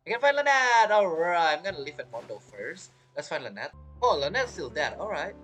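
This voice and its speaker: A man's voice: a little high, very animated, brisk, a bit bright, faint music behind. A man giving casual, rambling gameplay commentary as a streamer, reacting jokily. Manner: joking, rambling reactions to the game. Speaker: gaming streamer.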